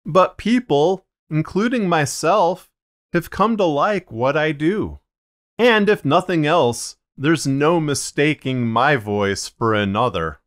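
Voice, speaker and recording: Man with a slightly muddied voice, explaining deliberately. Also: fairly high pitch, lively intonation. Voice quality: slightly muddied, limited range. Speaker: fiction podcast narrator and video host. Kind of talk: measured explanatory video narration.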